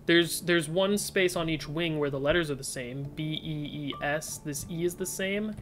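A man's voice: fairly high pitch, moderate pace, fairly bright tone, soft background music. A young man streaming from his desk, casually thinking aloud as he solves puzzles and reads viewers' suggestions. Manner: reads chat suggestions aloud, tentative musing. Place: indoor room at desk.

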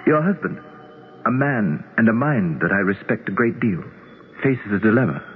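An actor playing a courtly, self-assured immortal alien prince in a 1970s radio drama, speaking formally and with stately certainty. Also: male voice, on the low side, big pitch swings, normal speed, faint music behind. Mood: assured, courting. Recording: tinny, noisy 1970s radio broadcast.

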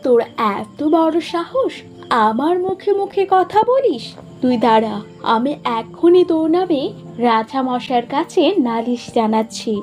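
Woman acting spiteful, high-voiced scheming young queen. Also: very animated, a little slow, a little mellow, soft background music. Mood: indignant. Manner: scolding, sneering, indignant. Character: spiteful, hot-tempered younger queen, jealous scheming stepmother. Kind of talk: Bengali fairy-tale cartoon acting.

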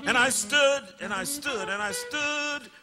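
A man performing dramatic spoken-word poetry, emphatic and incantatory, with drawn-out words and repeated phrases. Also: very high voice. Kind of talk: dramatic political poetry performance over saxophone. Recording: long hall reverb, saxophone playing along. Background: live saxophone music.

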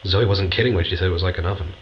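Man playing a deep-voiced, dry astronaut scientist. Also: racing, lightly acted. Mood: matter-of-fact. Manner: dry, understated. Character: dry, matter-of-fact male astronaut scientist keeping a log. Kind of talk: audio drama log narration. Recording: dull, noisy recorded log.

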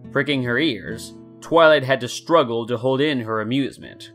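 A man's voice: mid-range voice, moderate pace, a bit bright, faint music behind. A man narrating fan fiction as a scripted story.

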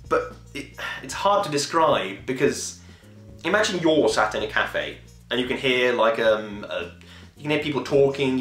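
A man's voice: on the low side, a bit bright, roomy sound. A man in his twenties chatting casually to camera in a rambling, enthusiastic way, swearing now and then. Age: 20s. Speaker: podcast host. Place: small home room, indoors.

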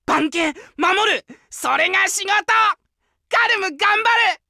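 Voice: extremely high pitch, expressive, takes it slowly, vocal fry, sharp tone, no echo, clean studio sound, heavily acted. An actor playing an eager, childlike, fiercely loyal wolf guard dog in an anime game, speaking in simple, exclaimed phrases. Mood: excited, eager. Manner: simple broken childlike speech, exclaiming, needy for praise. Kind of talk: anime game character voice lines.

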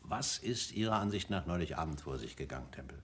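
An actor playing a formal, probing senior police official in a radio crime drama, questioning deliberately. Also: male voice, rumbling low, animated, fairly bright tone. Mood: inquiring, serious.

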